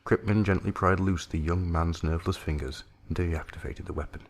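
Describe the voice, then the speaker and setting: A man's voice: deep voice, fairly even intonation, brisk. A man reading a science-fiction horror story aloud as a narrator, in a grave, theatrical way.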